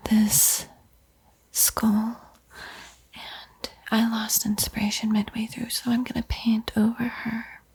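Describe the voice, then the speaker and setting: A woman giving an ASMR art tutorial in a breathy whisper, with a soft, unhurried, playfully eerie and teasing manner. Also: mid-range voice, low-quality recording. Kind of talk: whispered ASMR painting tutorial with spooky roleplay.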